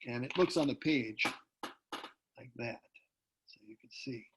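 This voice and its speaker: A man speaking as a poet at a literary tribute over a video call, talking and reading aloud in an unhurried, deliberate way. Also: mid-range voice, highs cut off, noisy background. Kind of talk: literary talk with poetry readings.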